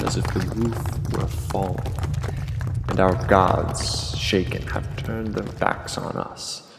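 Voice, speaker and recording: An actor playing a vengeful king tormented by rage in a classical tragedy, declaiming gravely and theatrically. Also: fairly low pitch. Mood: dark, tormented. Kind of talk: classical tragedy monologue, declaimed audio drama. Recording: long hall reverb, music underneath. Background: dramatic music.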